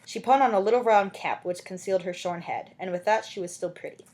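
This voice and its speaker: A woman narrating a story as an amateur audiobook reader, in an expressive literary style. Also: mid-range voice, fairly quick.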